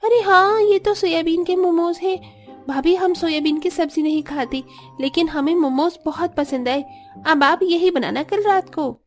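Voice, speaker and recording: A woman's voice: extremely high pitch, measured pace, soft background music. A woman playing a whiny, momo-crazy young sister-in-law in a Hindi cartoon, pleading and exclamatory. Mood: delighted. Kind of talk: Hindi cartoon story acting.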